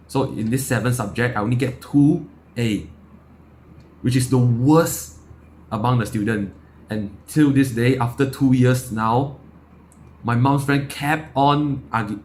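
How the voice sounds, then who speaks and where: Casting: male, late teens, Malaysian accent, blunt and emphatic vlogger, mid-pitched, slightly noisy recording. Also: a little mellow. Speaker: self-improvement vlogger. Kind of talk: unscripted personal opinion talk to camera.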